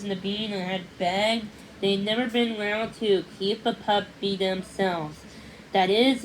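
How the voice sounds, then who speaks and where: A woman reading a children's storybook aloud as narrator, somewhat haltingly, sometimes repeating or correcting a word. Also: medium pitch, a little hiss or hum, low-quality recording. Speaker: read-along narrator.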